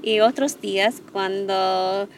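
A middle-aged woman with an American accent talks things through in a relaxed interview. She fills gaps with little hesitations and restarts, and a faint ambient hum sits under her voice at a mid pitch. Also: slow. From United States. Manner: thoughtful, many fillers and restarts. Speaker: guest, expat parent. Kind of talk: casual interview chat.

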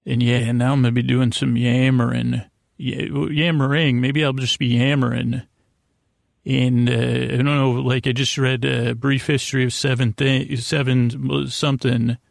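A man hosting a bedtime podcast in a creaky voice, rambling in a gently silly, halting way that meanders and circles back. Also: mid-range voice, flat delivery, dry recording, clean studio sound. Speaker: podcast host. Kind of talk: rambling bedtime monologue to aid sleep.

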